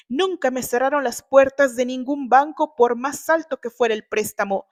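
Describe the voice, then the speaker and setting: Woman acting an indignant, gruff older father. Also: fairly high pitch, darkish tone, close to an everyday voice. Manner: demanding, gruff, scolding. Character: indignant older father, stern family patriarch.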